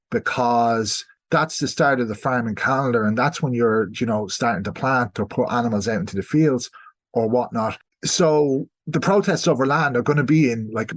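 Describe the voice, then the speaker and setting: An Irish man talks as a guest historian on a podcast. His delivery is measured and explanatory, at an average pitch, and he qualifies his points with asides as he goes.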